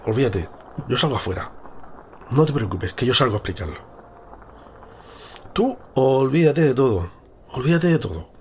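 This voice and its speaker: A man acting in an audio drama as a gentle, protective man who soothes and reassures an upset young companion. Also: medium pitch, a little hiss or hum.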